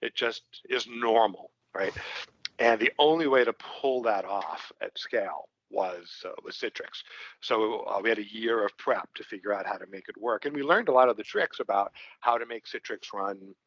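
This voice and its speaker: A man's voice: lowish voice, normal speed, fairly bright tone, no room sound. Rambling Brooklyn man, dry muffled sound. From Brooklyn, New York. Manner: rambling, lots of fillers and restarts. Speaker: IT company co-founder, guest. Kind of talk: casual podcast interview.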